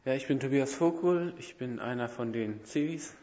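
A young man from near Sinsheim, a civilian service volunteer, introducing himself softly and hesitantly at a church service. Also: mid-range voice, normal speed. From near Sinsheim, Germany. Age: young adult. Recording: echoey church hall, dull lo-fi sound.

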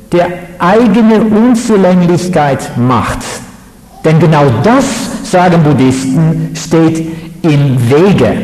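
A man from the Netherlands, giving a measured, halting lecture on religion with fillers. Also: high voice, darkish tone. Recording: echoey hall.

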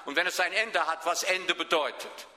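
A Swabian-accented man preaches with a very bright, cutting tone and a voice sitting a little high for a man. He presses his points hard and keeps checking in with his congregation. His words ring out with the long reverb of a large hall. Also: normal speed. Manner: emphatic, often asks the listeners questions. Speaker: pastor. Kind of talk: sermon.

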